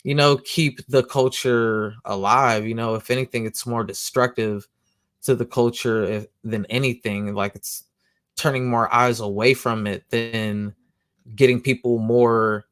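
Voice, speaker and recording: A man hosting a comic book show, rambling casually in slang, who says he has a loud voice. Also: medium pitch, steady tone, moderate pace, no room sound, clean studio sound. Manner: slangy, rambling, lots of "you know what I'm saying". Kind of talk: casual monologue.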